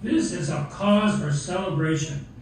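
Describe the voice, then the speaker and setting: A jolly old Santa puppet played by a man hosts a children's Christmas show in a jovial, high voice. It carries from far off across a very echoey hall. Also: unhurried, soft background music. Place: theatre, behind puppet stage curtain. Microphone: far camera.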